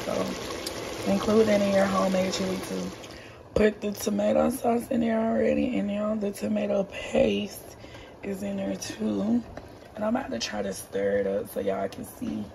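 A young woman with a hoarse, raspy voice chatting casually to viewers while she cooks in her home kitchen. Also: mid-range voice, moderate pace, background noise. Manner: chatty, talks straight to viewers. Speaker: vlogger. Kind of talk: casual cooking vlog. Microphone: phone camera held close.

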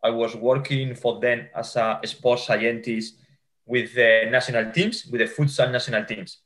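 Spanish-accented man, halting interview answers. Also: mid-range voice, steady tone, a bit bright. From Spain. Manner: halting, with many restarts and fillers. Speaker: futsal coach. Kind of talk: video call interview. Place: home room. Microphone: video call.

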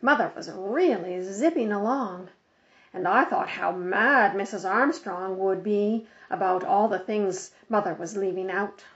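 A woman reading a children's book aloud as an expressive storyteller, on a slightly dull recording. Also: medium pitch, a little slow. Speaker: audiobook reader.

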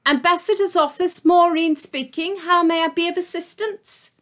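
A woman's voice: very high voice, normal speed, a bit bright, voice pushed up. A woman reading a storybook aloud, voicing a prim, officious receptionist with formal telephone manners. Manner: formal, clipped telephone politeness.

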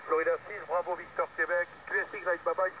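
A man's voice: high-pitched, little pitch movement, fairly quick. An older man chatting casually as an amateur operator over two-way radio. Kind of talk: casual ham radio exchange. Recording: distant tinny radio link, noisy interference.

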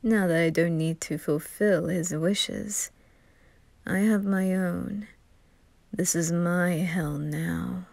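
A woman plays a sinister butler demon in an anime audio drama. He speaks very slowly in a cold, hushed, possessive way. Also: on the low side, fairly even intonation, gravelly, dry recording, pitched below the performer's own voice. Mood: cold menace. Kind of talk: anime fan audio roleplay.

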